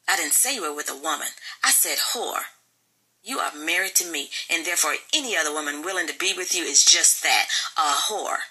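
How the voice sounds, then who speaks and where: Woman acting out a scornful, bitter wife, sharp and forceful. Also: low voice, lively intonation, brisk, breathy edge, exaggerated voice, poor audio. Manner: sarcastic, biting, colloquial. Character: angry, scornful, accusing wife. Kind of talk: audiobook character dialogue.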